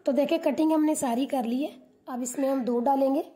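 A woman hosting a cooking channel, calmly narrating a recipe step by step as a voice-over. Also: sits high, fairly quick. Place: home kitchen.

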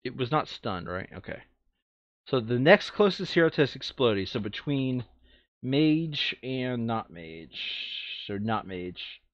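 A man talks casually through a tabletop game with a bantering, joking manner. His pitch moves around in a lively way. The recording is dry and a little dull. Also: slow, no echo. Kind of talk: casual gameplay chat.

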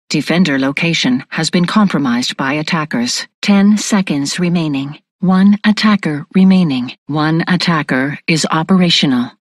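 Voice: mid-range voice, no echo, lightly acted. An actor voicing an impersonal computer announcer for a futuristic military facility in a video game, clipped, formal and emotionless.

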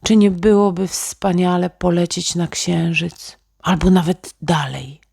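A woman voicing a dreamy, excited little boy inventor in a children's bedtime story, musing aloud eagerly. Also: fairly low pitch, a little slow, vocal fry, breathy edge, no echo, studio quality, pitched above the performer's own voice, full character voice. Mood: dreamy, determined.

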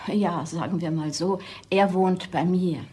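A woman's voice: lowish voice, fairly even intonation, unhurried, warm tone, natural voice. An actress playing a proud, possessive elderly mother in a crime radio play, reproachful and guarded. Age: older. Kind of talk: radio crime drama acting.

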